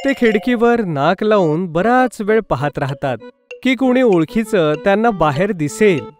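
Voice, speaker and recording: A man's voice: high-pitched, lively intonation, a little slow, soft background music. A man reading scripted narration for a children's cartoon story.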